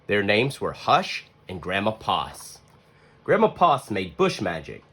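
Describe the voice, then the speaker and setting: Slow, highly animated male storybook reader. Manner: expressive storytelling with deliberate pauses. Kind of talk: children's read-aloud narration.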